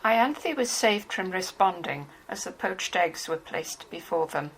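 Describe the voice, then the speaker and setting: A woman's voice: lowish voice, expressive, unhurried, low-quality recording. A woman narrating a novel from a script. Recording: faintly hissy home recording.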